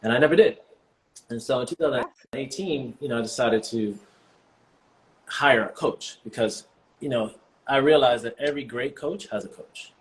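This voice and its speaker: A man in his forties, born in the US and partly raised in Jamaica, answering interview questions as a guest in a relaxed, reflective way with many fillers. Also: mid-range voice, normal speed, rough recording. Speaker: guest, vegan bodybuilder.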